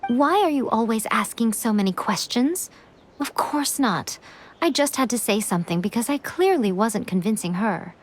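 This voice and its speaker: A woman portrays a sly, self-assured shrine priestess in a video game. She speaks in a fairly high, swooping voice, poised and teasing. Soft music sits behind her. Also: expressive, normal speed, lightly acted. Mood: coy, confident. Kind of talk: dramatic video game acting.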